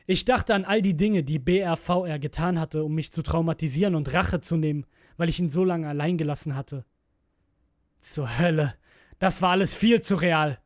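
A man's voice: fairly high pitch, no echo, clean studio sound. A man narrating a scripted horror story in a brooding, theatrical manner. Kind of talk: dramatic scripted narration of a creepypasta.

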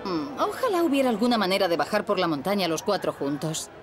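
Actress as patient preschool-cartoon mum: Castilian accent, mid register, expressive and reassuring. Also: female voice, medium pitch, quick pace, over loud music, lightly acted. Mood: gentle. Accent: Castilian Spanish. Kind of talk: children's cartoon dub acting.

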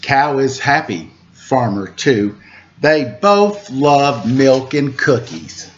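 A man in his forties reading a children's picture book aloud in a playful, expressive way, with chatty asides. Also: mid-range voice, takes it slowly. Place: room at home, indoors. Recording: muffled webcam audio with clear background noise.

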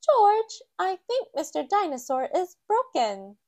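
A woman voicing an excitable little girl pig in a children's storybook, squeaky and exclaiming. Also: a little slow, heavily acted. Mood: complaining.

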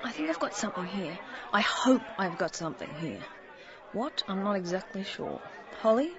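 An actress playing an eager, flustered heavenly case worker in an audio drama, excitable and stubbornly hopeful. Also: female voice, medium pitch, moderate pace. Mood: urgent. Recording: muffled audio drama mix, music and crowd.

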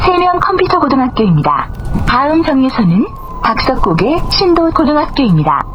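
Lady doing bus stop announcements, high voice, formal, kinda muffled recording. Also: sing-song, moderate pace. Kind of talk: scripted public transit announcement. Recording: noisy, dull bus announcement audio.

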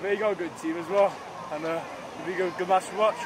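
A man's voice: high voice, fairly even intonation, quick pace. A young man with a South Welsh accent giving short, laid-back answers outdoors in a busy square. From Wales. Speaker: fan. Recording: outdoor street noise.

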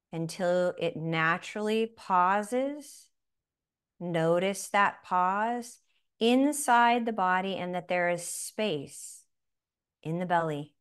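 Slow, smooth-voiced woman guiding meditation. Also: fairly low pitch. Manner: slow, soft-spoken, with long pauses. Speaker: meditation guide. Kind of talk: guided breathing meditation.

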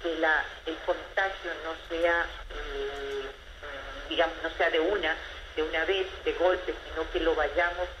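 A man's voice: on the high side, flat delivery, a little slow. Hesitant man explaining a plan, echoey phone sound. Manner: hesitant, with fillers and restarts. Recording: echoey phone line with background noise.

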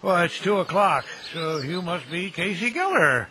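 Kindly older caretaker, high voice, joking, folksy, audio drama, clear background noise. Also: male voice, very animated, normal speed. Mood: genial, chatty.

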